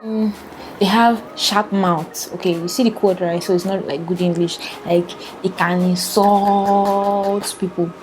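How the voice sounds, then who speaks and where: A woman's voice: medium pitch, steady tone, measured pace, a little mellow, a little hiss or hum, poor audio. A young Nigerian woman with a Nigerian accent, chatting casually to the camera in a vlog, laughing often and filling her talk with "like". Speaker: vlogger.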